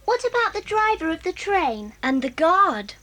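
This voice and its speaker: A cautious, sensible young girl from a children's radio drama. Her voice is very high and lively. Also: moderate pace, exaggerated voice. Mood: worried.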